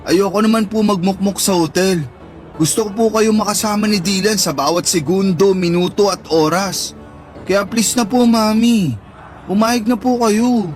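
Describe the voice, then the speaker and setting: A man's voice: high voice, moderate pace, faint music behind, lightly acted. A man acting an affectionate, pleading son who speaks respectfully to his mother in a radio drama.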